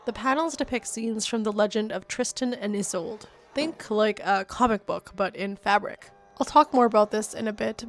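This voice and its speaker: Chatty woman hosting a cozy craft podcast. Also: mid-range voice. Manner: soft, chatty storytelling with casual asides. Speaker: podcast host. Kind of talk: relaxed craft podcast narration.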